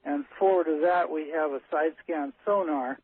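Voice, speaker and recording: Male phone-in caller, husky, halting and factual, thin telephone sound. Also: on the high side, no echo. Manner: halting, matter-of-fact, technical. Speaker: caller, ship's captain. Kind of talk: unscripted storytelling on a call-in show. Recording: narrow muffled phone line on radio.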